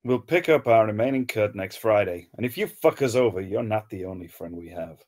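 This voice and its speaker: A man's voice: on the low side, animated, brisk, a little mellow. A man reading the part of a stubborn, defensive small-time crook in a screenplay table read. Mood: defiant.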